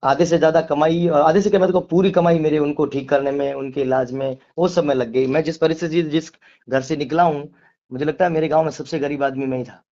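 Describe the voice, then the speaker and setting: A man's voice: a little high, fairly even intonation, fairly quick, warm tone. A man speaking defensively and emphatically in an unscripted phone interview, often repeating his phrases. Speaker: devotional singer being interviewed. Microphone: telephone. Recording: dull lo-fi phone call recording.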